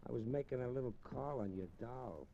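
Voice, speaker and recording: An actor playing a cold, swaggering American killer in a mid-century radio crime drama, with casual, slangy menace. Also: male voice, medium pitch, brisk, vocal fry, lightly acted. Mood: cool, menacing. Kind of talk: 1940s-50s American radio crime acting. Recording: muffled, hissy old radio recording.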